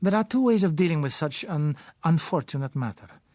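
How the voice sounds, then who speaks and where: A male narrator performs a suave South businessman for an audiobook. His pitch sits raised, his phrasing is measured and courteous, and he slips in soft pauses as he insists. The sound is dry. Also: lively intonation, no room sound, natural voice. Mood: gently persuasive. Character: suave, sly South American businessman, polite and gently insistent. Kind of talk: audiobook character voice.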